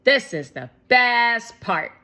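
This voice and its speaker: A woman voicing an eager, excitable, boastful young boy in a children's storybook. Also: fairly high pitch, takes it slowly, pitched above the performer's own voice, exaggerated voice. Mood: excited, eager. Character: Shake, eager loud young visiting boy.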